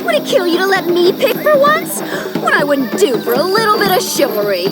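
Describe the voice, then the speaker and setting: A woman's voice: very high voice, sing-song, a little fast. An actress playing a bossy, short-tempered young woman in a dubbed game cutscene, scolding and demanding. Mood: whiny, demanding. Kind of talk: English dub of video game cutscene. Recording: loud music behind.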